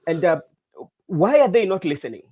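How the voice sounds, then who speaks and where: A man hosting an interview, asking questions in a measured way with frequent short acknowledgements. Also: high-pitched, big pitch swings, darkish tone, husky. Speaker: host, interviewer.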